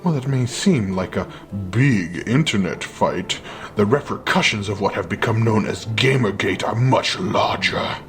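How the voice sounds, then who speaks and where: A man's voice: lowish voice, animated, measured pace, a bit bright. A man giving a dramatic reading of a manifesto, with choral music behind. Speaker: reader. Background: dramatic orchestral choral music.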